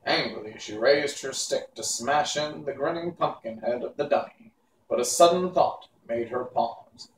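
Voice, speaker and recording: A man's voice: medium pitch, normal speed. A man reading a children's novel aloud as narrator, in an unpolished amateur way. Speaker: storybook reader. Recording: echoey room, distant mic, faint hiss.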